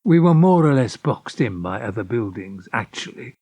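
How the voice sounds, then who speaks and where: Gravelly, wry old narrator. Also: male voice, mid-range voice, sing-song, normal speed, mellow, dry recording. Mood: wry, reminiscent. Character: wry elderly man recalling his past love affairs. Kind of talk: first-person dramatic narration.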